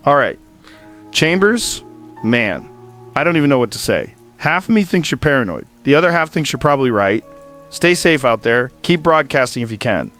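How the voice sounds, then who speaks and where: A man's voice: medium pitch, very animated, moderate pace, fairly bright tone. A man voices a weary survivor running a makeshift broadcast in an apocalyptic audio drama. He sounds hesitant and resigned, with a mid-range voice. Faint music runs behind him. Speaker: host.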